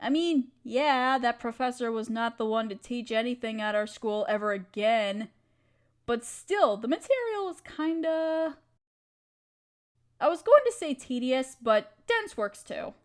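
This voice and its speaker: A woman acting as a loving young wife with a high voice and a slow, lingering pace. She is tender and playfully teasing, speaking intimately to her partner as in a girlfriend roleplay audio. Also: lively intonation. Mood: warm, nostalgic.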